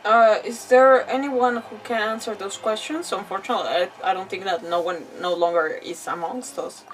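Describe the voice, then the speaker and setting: A woman livestreamer giving casual gameplay commentary, thinking aloud in a halting, giggly way. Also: mid-range voice, fairly even intonation, recorded from a distance, a little hiss or hum, rough recording. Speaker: game livestreamer.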